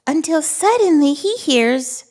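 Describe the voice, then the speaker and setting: Friendly little monster, squeaky high voice, slow pace, gleeful wonder, children's storybook read-aloud. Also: female voice, expressive, a little mellow. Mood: playfully spooky. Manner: gleeful, giggly, breathless wonder, drawn-out words. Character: excitable, friendly little monster puppet who loves reading. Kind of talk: animated picture-book read-aloud for toddlers.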